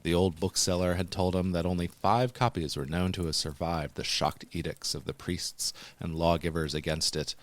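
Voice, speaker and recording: A man reading scripted horror narration as a podcast host, in a measured, deliberate and formal manner. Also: low voice.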